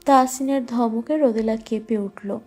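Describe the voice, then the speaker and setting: A woman's voice: on the high side, steady tone, unhurried, a little mellow. A woman narrating a scripted romantic story. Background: faint music.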